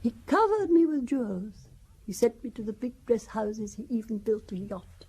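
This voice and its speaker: A woman's voice: on the high side, expressive, normal speed, mellow, breathy edge. An actress playing a wealthy older widow who talks about her family in a wry, confiding, gossipy way, in a radio drama. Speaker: Valentine Besson.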